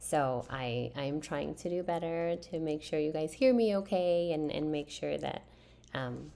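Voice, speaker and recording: A soft-spoken woman in her thirties answers her viewers' questions in a relaxed, chatty way. Her voice sits very low and has a gravelly fry. She often pauses and restarts as she talks. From Philippines. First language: a Philippine language. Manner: soft-spoken, hesitant with many fillers and restarts. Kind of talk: casual chat to camera.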